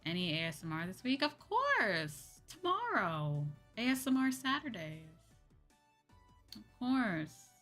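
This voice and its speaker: Sleepy woman streamer chatting casually, really unhurried pace, faint music behind her. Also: mid-range voice, very animated, fairly bright tone. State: a little sleepy. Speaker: livestream host, VTuber. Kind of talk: casual livestream chat with viewers.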